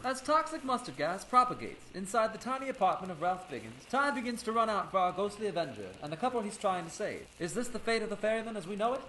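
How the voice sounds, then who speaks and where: A man's voice: high-pitched, very animated, fast, hardly any pauses, bright, forceful tone, a little hiss or hum, exaggerated voice, poor audio. A man narrating an old-time radio serial in a theatrical, suspenseful scripted style.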